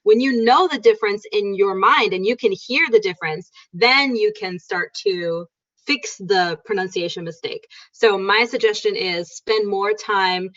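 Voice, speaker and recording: A woman's voice: mid-range voice, unhurried, fairly bright tone. A woman teaching in a casual chat, explaining things through examples. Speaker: English teacher. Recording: dull lo-fi sound.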